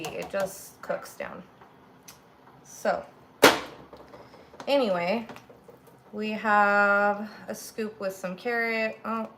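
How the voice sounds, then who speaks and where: Slow, raspy woman chatting while cooking. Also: mid-range voice, fairly bright tone. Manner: chatty, rambling, narrates each step while cooking. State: a little sick. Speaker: cooking livestream host. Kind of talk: casual cooking livestream chat. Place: home kitchen. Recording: noisy home kitchen recording.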